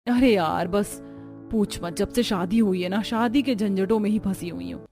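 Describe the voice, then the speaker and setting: A woman's voice: medium pitch, moderate pace, a little mellow, soft background music, close to an everyday voice. A woman voicing a brash, gossipy young friend in a cartoon story, blunt and familiar. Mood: blunt, chummy.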